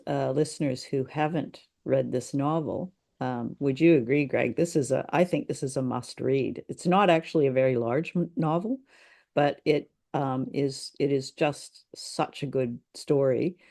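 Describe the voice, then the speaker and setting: A woman's voice: rumbling low, measured pace, vocal fry, mellow. A woman co-hosting a podcast, chatting casually in a hesitant, appreciative way. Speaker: podcast co-host. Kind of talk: casual chat about old radio drama.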